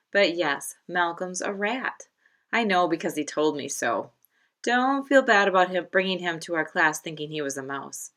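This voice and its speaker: A woman's voice: medium pitch, pushed and bright. A woman as a chatty, confiding fifth-grade student.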